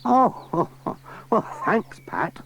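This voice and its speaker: Good-natured, blunt countryside farmer, acted by a man, pitched up and swooping, emphatic, on muffled cassette. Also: sing-song, takes it slowly, creaky, a bit bright, heavily acted. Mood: grumbling. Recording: muffled, hissy cassette transfer.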